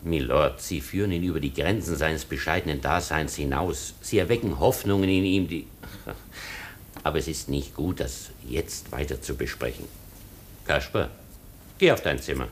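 Low-voiced, stern German baron. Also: male voice, normal speed, a little hiss or hum. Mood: coldly polite. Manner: formal, dry, sardonic, disapproving. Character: stern, proud German baron, a strict guardian. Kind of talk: German radio play acting.

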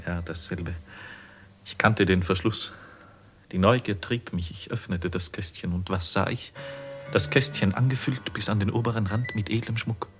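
An actor playing an anguished young goldsmith's journeyman in a 1950s German radio drama, with husky, declamatory delivery that moves from hushed to impassioned. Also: on the low side, normal speed, music in the background, close to an everyday voice. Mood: agitated, recounting. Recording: muffled vintage 1950s radio recording.

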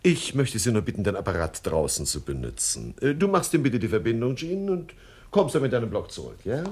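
An actor playing a suave, wordy swindler boss with mock-courteous manners in a radio crime comedy. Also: male voice, mid-range voice, huge pitch swings, fairly quick, a little mellow, slightly rough. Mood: breezily confident. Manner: verbose, mock-courteous, hesitant filler words. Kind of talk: 1970s German radio crime comedy acting.

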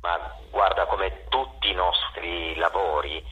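A man giving a telephone interview as a guest, haltingly explaining his work and repeating phrases as he searches for words. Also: low voice, steady tone, pushed and bright, slightly rough. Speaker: interview guest, playwright and actor. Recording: muffled telephone line.

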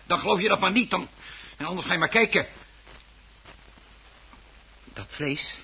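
An actor playing a stubborn, obsessive hobby gardener and exasperated husband in a radio play, with a defensive, insistent way of talking. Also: male voice, high voice, expressive, normal speed, pushed and bright, full character voice. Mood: indignant, insistent. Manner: defensive, insistent, muttering to himself when alone. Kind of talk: Dutch radio play acting. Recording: dull, noisy old radio recording.

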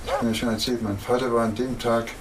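An older man recounting memories in conversation, speaking haltingly and repeating words. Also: medium pitch, level tone. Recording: noisy location recording, distant microphone.

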